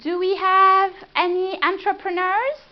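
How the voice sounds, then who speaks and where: A woman with a French accent gives a chatty business presentation at a live event and keeps pulling the audience in with questions. Her voice sits very high. The recording is muffled and lo-fi. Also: flat delivery, measured pace. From France. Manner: chatty, engaging, asks the room questions.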